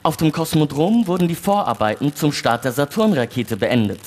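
Man acting animated, higher-pitched space mission radio operator. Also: normal speed. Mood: formal, newsy. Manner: newsreader delivery turning breezy and teasing. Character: chatty, jovial male mission radio operator in Houston. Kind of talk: staged radio play reading.

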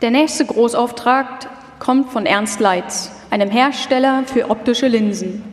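A woman with a fairly high voice narrates a scripted documentary, performing live. Her words carry the long reverb of a large hall. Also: unhurried.

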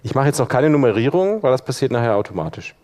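A man teaching a live software workshop, explaining step by step in an informal spoken style. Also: mid-range voice, big pitch swings, fast. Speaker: workshop instructor. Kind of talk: live software demonstration to an audience.

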